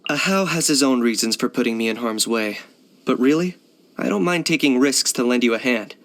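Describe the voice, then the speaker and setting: An actor playing a cool, pragmatic young hunter for hire in a video game, deadpan, matter-of-fact and laconic. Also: male voice, medium pitch, brisk. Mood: reflective. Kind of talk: video game character voice lines.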